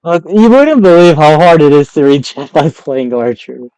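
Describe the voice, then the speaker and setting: Casual Argentinian man streaming, mellow voice. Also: on the high side, very animated, normal speed, clean tone, dry recording, low-quality recording. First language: Spanish. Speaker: game streamer. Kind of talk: casual live stream chat while gaming.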